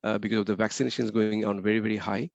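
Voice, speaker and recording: Man, webinar presenter, very fast delivery, gravelly, dry muffled call audio. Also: on the low side, flat delivery, darkish tone, no echo. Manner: rapid, repeats key points, asks rhetorical questions. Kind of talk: slide presentation mixing English and Bengali. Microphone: computer mic on a video call.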